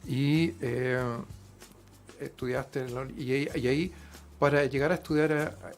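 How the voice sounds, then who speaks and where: A man hosting a radio interview, talking conversationally with many fillers and quick affirmations. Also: medium pitch, gravelly, faint music behind. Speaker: radio show host.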